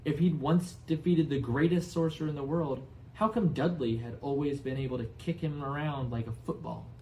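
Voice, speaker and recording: A man narrating a storybook as an expressive audiobook reader. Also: on the high side, moderate pace, slight background noise, rough recording.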